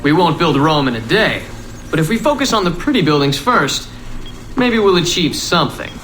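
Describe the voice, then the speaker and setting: A man's voice: fairly high pitch, big pitch swings, normal speed, fairly bright tone. A man playing a breezy, self-assured scientist in theatrical audio drama, jaunty, suave and given to pontificating. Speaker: senior scientist. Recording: audible background ambient noise.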